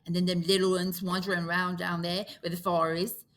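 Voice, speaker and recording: A woman's voice: fairly low pitch, little pitch movement, a little fast, a bit bright, close to an everyday voice. A woman voicing a fretful, indignant schoolboy who talks in pleading, breathless outbursts.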